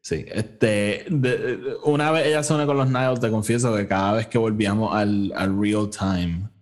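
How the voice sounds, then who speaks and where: A man's voice: fairly low pitch, normal speed. A man with a Puerto Rican accent hosting a casual, informal review chat, mixing English phrases into his Spanish. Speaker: podcast host.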